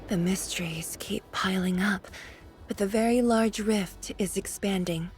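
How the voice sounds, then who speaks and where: An actress voicing a solemn, weary woman of authority in a video game, grave and deliberate, hushed at times. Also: mid-range voice, soft background music, natural voice. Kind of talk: dramatic video game voice acting.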